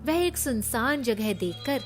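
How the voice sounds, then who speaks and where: A woman narrates a horror story in a fairly high voice. Her delivery is dramatic and measured, as a scripted storyteller, with music clearly playing behind her. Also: big pitch swings. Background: background music.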